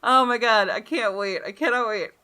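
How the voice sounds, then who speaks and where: A woman's voice: a little high, normal speed, sharp tone, clear, resonant tone. A woman hosting a visual novel playthrough, reading aloud and reacting with giggles and exclamations. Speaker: gaming video host. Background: guitar game music.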